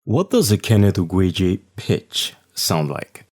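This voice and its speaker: A man's voice: low-pitched, big pitch swings, slow, darkish tone. A man putting short, scripted questions as an interviewer.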